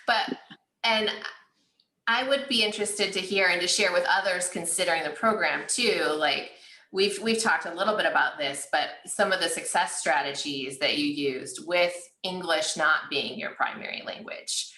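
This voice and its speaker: A woman's voice: low-pitched, sharp tone, echoey room, rough recording. A woman hosting an interview over a video call, encouraging and casual, often saying "like" and "right?". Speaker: interview host.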